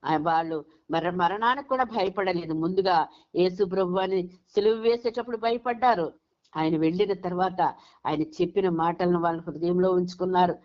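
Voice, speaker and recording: A woman's voice: lowish voice, normal speed. A woman preaching a sermon over a conference call, retelling Bible stories and often restarting her phrases. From Andhra Pradesh, India. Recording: dull, lo-fi conference call audio.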